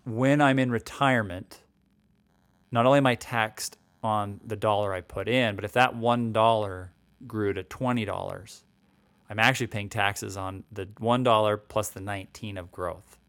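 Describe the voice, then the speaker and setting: A man's voice: lowish voice, fairly even intonation, moderate pace, a bit bright, no echo. A man in his forties explaining retirement savings and taxes in a conversational way, often checking in with "right?" and restarting sentences. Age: 40s. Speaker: financial educator.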